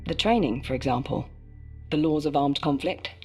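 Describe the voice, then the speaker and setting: Female actor as inquisitive interviewer, composed, formal phrasing, slightly low voice, naturalistic game dialogue, soft musical bed. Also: normal speed, soft background music, natural voice. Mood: probing. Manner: inquisitive, careful, formal wording. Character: probing, composed female interviewer questioning an aid worker.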